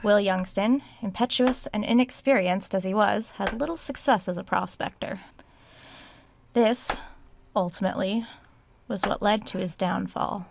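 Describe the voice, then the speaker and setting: A woman's voice: medium pitch, a little slow, creaky, a little hiss or hum. An actress playing an uneasy woman researcher who records an audio diary in a haunted house for a horror drama. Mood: measured reading. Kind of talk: horror audio drama, diary-style narration and reading aloud.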